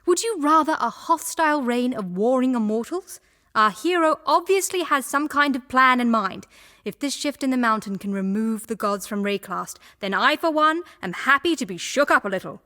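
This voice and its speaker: A woman's voice: sits high, animated, normal speed, pushed and bright. An actress playing a shrewd, teasing female antiquities trader in a video game, speaking with wry self-assurance. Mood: soothing, confident. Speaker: game character. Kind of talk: theatrical video game voice acting.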